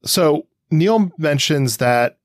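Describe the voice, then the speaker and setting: A man co-hosting a podcast in a conversational, analytical way, halting and circling as he thinks aloud. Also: on the high side, lively intonation, no room sound, studio quality. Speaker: podcast co-host. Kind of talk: conversational comics analysis, sometimes reading quotes.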